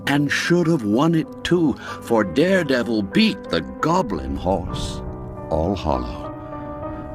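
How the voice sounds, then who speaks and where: Male audiobook narrator for old folk mystery: low register, very animated intonation, theatrical and measured. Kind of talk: dramatic scripted narration of a classic folk mystery tale.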